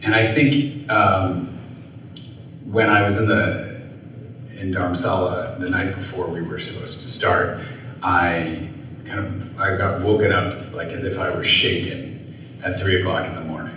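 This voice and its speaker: A man's voice: low voice, fairly even intonation, a little slow, bright, forceful tone. A man from the US, an author telling personal stories onstage, talking in a rambling way with many fillers and restarts. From USA. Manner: rambling, filler-heavy, self-correcting, laughs easily. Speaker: author and interview guest. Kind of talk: personal storytelling in an onstage conversation. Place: large hall at a live event. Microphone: handheld microphone, trouble with the sound. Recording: echoey hall from far off, audible noise.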